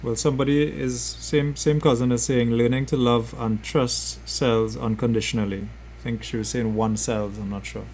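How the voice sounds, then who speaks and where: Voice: mid-range voice, fairly even intonation, moderate pace, hardly any pauses, darkish tone, slight background noise. A podcast host asking questions in a supportive, casual way with many fillers. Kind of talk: conversational interview.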